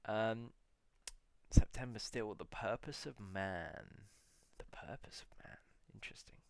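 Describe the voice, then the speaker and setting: A man in a casual solo video, musing aloud as he reads out old titles slowly, with many pauses and fillers. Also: on the low side, creaky, a little mellow, dry recording. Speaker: video blogger.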